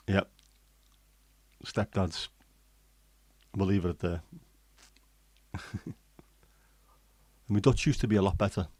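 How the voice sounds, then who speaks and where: Chatty man, low voice. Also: takes it slowly, long pauses, darkish tone, breathy edge, dry recording. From England. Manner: chatty and rambling, with many ums and restarts. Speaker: livestream host. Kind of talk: casual chat, reading and answering live comments.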